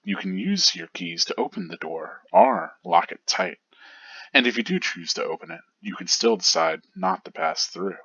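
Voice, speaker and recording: A man voicing a calm, cryptic older male mentor who speaks slowly and quietly. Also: fairly low pitch, vocal fry, slightly rough, no echo, highs cut off, exaggerated voice. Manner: slow, measured, quiet.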